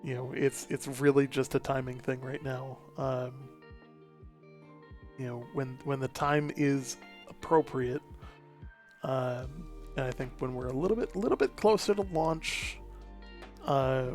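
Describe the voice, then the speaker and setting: A man talks casually at a middling pitch with faint music behind him. He feels his way through his thoughts aloud, halting and rambling as a panelist on a gaming show might. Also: fairly even intonation, a little mellow. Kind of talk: casual chat.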